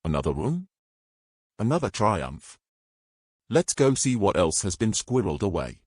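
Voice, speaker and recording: A man playing a greedy, imperious male adventurer with brisk old-fashioned speech in a fantasy audio story. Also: fairly low pitch, sing-song, a little mellow, breathy edge, dry recording, studio quality, heavily acted. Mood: excited, triumphant.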